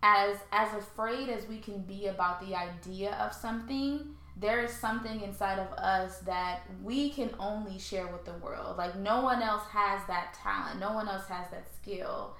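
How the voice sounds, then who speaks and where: A woman's voice: mid-range voice, normal speed, few pauses, sharp tone. An American woman hosting a conversational podcast interview, speaking in an earnest, reflective and affirming way. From born in Haiti, raised in St. Louis, Missouri, USA. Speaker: podcast host. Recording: roomy, echoey sound.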